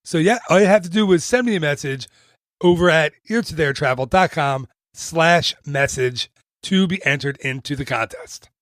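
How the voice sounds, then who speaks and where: Brisk, bright-voiced male podcast host. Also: a little high, no room sound, clean studio sound. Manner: chatty, self-deprecating jokes. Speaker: podcast host and travel agent. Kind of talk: upbeat podcast intro and promo read. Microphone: studio mic.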